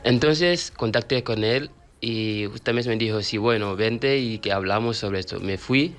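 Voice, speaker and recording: A man with a Fula accent, a native Fula speaker, talking as a radio interview guest, halting with frequent restarts and repeated words. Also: on the low side, a bit bright. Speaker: guest, author of a Fula learning book.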